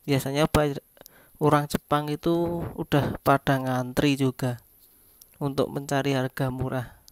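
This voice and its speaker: A vlogger speaks casually at a middle pitch with very little melody. The delivery is slow and halting, phrase by phrase, as in a homemade narration over close-up shots. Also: little pitch movement, no echo.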